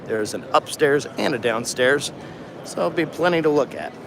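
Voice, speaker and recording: A man co-hosting a casual livestream walk through a trade show, easygoing and quick to laugh, recorded on a phone. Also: fairly high pitch, animated, creaky, background noise. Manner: easygoing, chuckling, chatty. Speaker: co-host. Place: busy trade show hall. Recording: phone audio in crowded hall.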